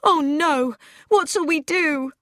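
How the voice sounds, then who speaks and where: A woman's voice: animated, takes it slowly, darkish tone, no room sound, studio quality. A woman reading an audiobook, voicing an anxious woman who cries out tearfully. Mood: distressed. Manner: high, tearful.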